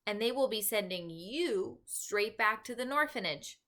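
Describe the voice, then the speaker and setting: A woman voicing a stubborn, gentle, pessimistic male giant with garbled folksy grammar in a children's storybook. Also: mid-range voice, lively intonation, measured pace. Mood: scornful. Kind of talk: children's storybook read-aloud.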